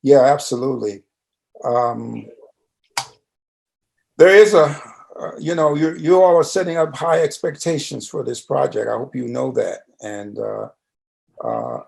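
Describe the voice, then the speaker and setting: Very gravelly older man asking interview questions. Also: medium pitch, lively intonation, measured pace, long pauses, a little mellow.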